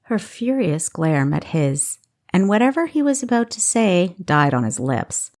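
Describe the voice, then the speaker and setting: A woman's voice: on the low side, sing-song, measured pace, a little mellow. A woman narrating a novel as an audiobook, reading expressively from a script.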